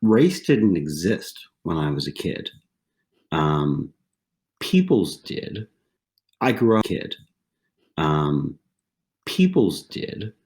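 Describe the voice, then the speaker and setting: Deep-voiced man telling stories. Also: big pitch swings, takes it slowly, long pauses, darkish tone. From southwestern Pennsylvania, USA. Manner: conversational, repeats phrases, tags lines with "right?". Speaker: guest. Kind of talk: casual interview storytelling.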